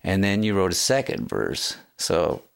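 A man hosting a casual interview, rambling and self-deprecating, with many halting fillers. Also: low-pitched, sing-song, gravelly. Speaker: host and songwriter.

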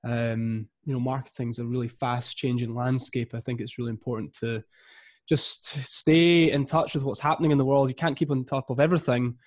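Energetic Scottish man in his thirties. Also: medium pitch, moderate pace, dry recording, clean studio sound. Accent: Scottish, east coast. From Scotland. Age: 30s. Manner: energetic, upbeat. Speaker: guest, marketing coach. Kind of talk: podcast interview.

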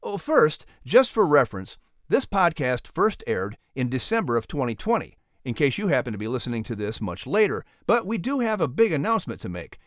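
Animated male storyteller, higher-pitched. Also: a little fast, no room sound, studio quality. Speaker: narrator and podcast announcer.

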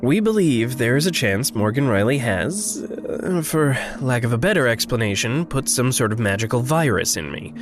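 A man's voice: medium pitch, big pitch swings, moderate pace, hardly any pauses, over music, natural voice. A man playing a polite, earnest, hesitant young man in a naturalistic audio drama. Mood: careful, uneasy.

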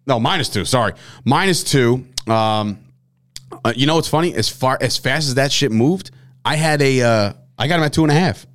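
A man's voice: medium pitch, moderate pace, a bit bright. A man hosting a casual sports betting podcast, rambling and laughing often, with some swearing. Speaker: sports betting show host.

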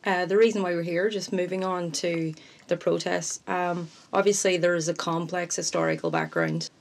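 A woman's voice: lowish voice, steady tone, moderate pace, creaky. A woman hosting a podcast interview, guiding the talk with friendly questions and fillers. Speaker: podcast host.

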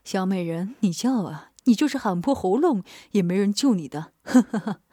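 A woman's voice: mid-range voice, expressive, normal speed, mellow, dry recording, clean studio sound, pitched below the performer's own voice, natural voice. A woman voicing a grown man who gloats and taunts in a mock villain role, in a storybook reading. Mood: leering. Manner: gloating, menacing teasing. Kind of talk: audiobook character voice.

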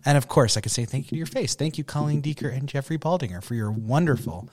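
A man's voice: medium pitch, animated, fast talker, a little mellow. A man co-hosting a podcast as a rabbi, upbeat and laughing, with rambling questions.

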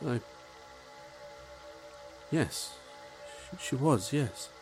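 A man acting a frightened male crew member woken from stasis, halting and disbelieving. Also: medium pitch, expressive, a little mellow, soft background music. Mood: anxious. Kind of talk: audio drama acting.

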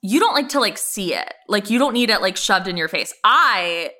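A woman with a bright, sharp-edged voice at a medium pitch co-hosts a casual podcast. She banters in slang, leaning hard on her words as she gushes about television. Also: expressive, moderate pace. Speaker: podcast co-host.

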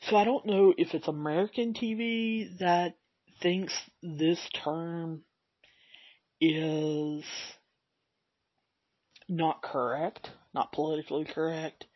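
Slow, slightly raspy man recapping. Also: on the high side, steady tone, no room sound, lo-fi sound. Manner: rambling, recounting with fillers. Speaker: podcast host. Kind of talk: solo podcast recap.

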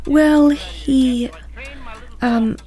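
An actress playing a kindly, discreet housekeeper in an audio drama, speaking gently and carefully. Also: female voice, high-pitched, steady tone, very slow, exaggerated voice. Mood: awkward, sympathetic.

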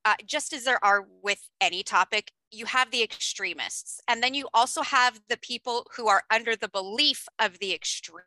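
A woman's voice: a little high, moderate pace, few pauses, sharp tone, no room sound, low-quality recording. A woman guest co-host on a podcast who speaks in an upbeat, enthusiastic, articulate way. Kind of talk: casual podcast conversation.

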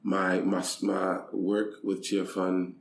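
Deep-voiced guy from haiti, chatting in an interview, lots of restarts, faint hiss behind. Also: completely flat delivery, takes it slowly, creaky. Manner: thoughtful, repeats words while finding them. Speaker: guest, charity country director. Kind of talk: conversational interview. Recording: echoey room with faint noise.

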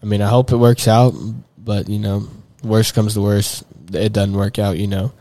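A young man with a drawl talks loosely and at length, leaning on fillers and restarting his sentences. His voice sits a little low, and it is recorded close and dry with no room sound. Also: little pitch movement, moderate pace, dark tone, no echo. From Texas. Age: young adult. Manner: rambling, many fillers and restarts. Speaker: guest. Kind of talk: casual chat.

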